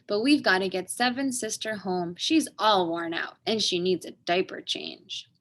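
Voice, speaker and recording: A woman's voice: mid-range voice, measured pace, fairly bright tone, natural voice. A woman voicing brave young sisters from a storybook, urgent and bold, calling out. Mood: concerned.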